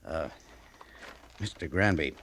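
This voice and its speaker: Stern frontier army major, low voice, American, measured, dry, authoritative, noisy old radio drama recording. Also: male voice, lively intonation, a bit bright, heavily acted. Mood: awkward. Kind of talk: 1950s radio western acting.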